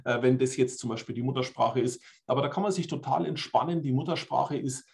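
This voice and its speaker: A man's voice: mid-range voice, steady tone, a little fast, creaky, darkish tone. A German man in his forties giving an explanatory online presentation on language learning, often adding "ne?" to check in with listeners. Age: 40s. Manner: explanatory, uses tag questions like "ne?". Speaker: language trainer. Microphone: webcam on video call.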